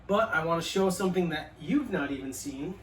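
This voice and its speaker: A man's voice: on the high side, lively intonation, a little fast. A man in his early forties co-hosting a casual chat show, with laid-back, profane banter. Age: 40s. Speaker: podcast co-host. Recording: echoey room, mic at a distance.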